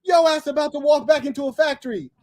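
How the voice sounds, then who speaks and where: A man's voice: extremely high pitch, fast, a crowd in the background. A man from Los Angeles hosting a livestream, insulting and cursing at a caller in a heated, domineering way. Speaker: livestream host. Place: abroad on livestream.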